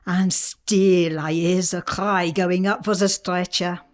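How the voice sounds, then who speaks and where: A woman playing a tender, dignified French widow of the 1890s in a radio play monologue, speaking with a French accent in a measured, wistful way. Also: on the low side, steady tone. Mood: grieving.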